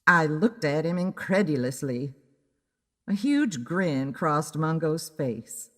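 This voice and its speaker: A woman's voice: low voice, big pitch swings, slow, a bit bright. A woman narrating a first-person story in a dramatic audiobook style. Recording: long reverb on the voice.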